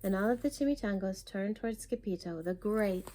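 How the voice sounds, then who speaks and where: A woman's voice: medium pitch, brisk, warm tone. A woman narrating a children's storybook expressively, with a dramatic, emphatic delivery, as a story time reader.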